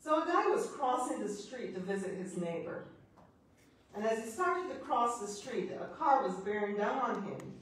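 A woman's voice: medium pitch, expressive, normal speed. A middle-aged woman priest with a Barbadian accent preaches from a pulpit, chatty and joking with the congregation between points. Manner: chatty, laughing asides, teasing the congregation. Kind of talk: sermon with congregation banter. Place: church sanctuary at a pulpit. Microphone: far camera. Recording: echoey church from a distance.

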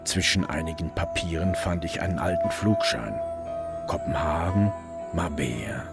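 A man's voice: low voice, moderate pace, gravelly. A man playing a brooding detective who narrates his investigation in a scripted German crime radio drama. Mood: brooding, measured. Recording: prominent music bed behind narration.

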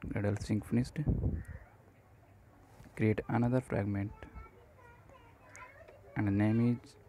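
A man presenting an unscripted screencast coding tutorial in short, halting phrases. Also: fairly low pitch, little pitch movement, noisy background.

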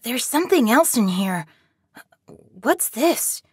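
A young boy in a video game, scared yet defiant. The voice is high and childlike, urgent and plaintive with a snarky edge. The sound is close and dry. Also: sing-song, slow, breathy edge, no echo, exaggerated voice. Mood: grumbling, curious. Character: scared but defiant young boy trapped in a pizza arcade.

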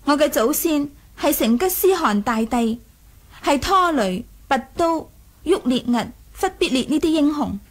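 A very high-pitched young princess in a radio play. She is proud and imperious, yet coquettish and teasing, with a wistful edge to her probing manner. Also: lively intonation, measured pace. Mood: proud, ambitious. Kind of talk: Cantonese 1980s radio drama acting.

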